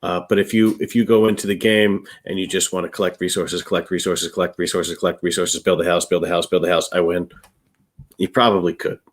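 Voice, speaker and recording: Male co-host, middle-aged, on the low side. Loose, chatty review delivery that circles back and repeats words. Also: level tone, a little fast. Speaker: co-host of a board game review show. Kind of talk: casual chat, reviewing board games.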